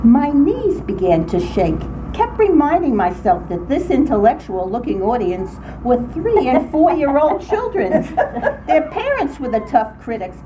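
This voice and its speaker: Older woman storyteller, higher voice, noisy room. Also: warm tone. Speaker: writer reading her own account to a writing group. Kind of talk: reading a humorous personal essay aloud. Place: indoor group meeting room. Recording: noisy room recording, background ambience.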